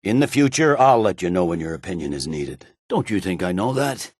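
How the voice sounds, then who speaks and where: A man playing a stern, proud, impatient middle-aged Imperial general in a video game, speaking with clipped, deliberate military authority. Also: sits low, very animated, darkish tone, no room sound, clean studio sound. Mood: stern, controlled. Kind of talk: scripted video game voice acting.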